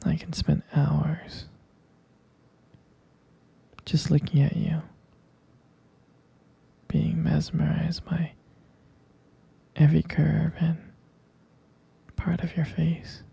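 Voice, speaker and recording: A man playing an adoring, tender vampire lover in an intimate ASMR roleplay, whispering breathily and haltingly with gravelly fry. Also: fairly high pitch, completely flat delivery, dry recording, heavily acted. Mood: adoring, soothing. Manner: slow, halting, softly repeating himself. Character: adoring, tender male vampire lover soothing his sweetheart.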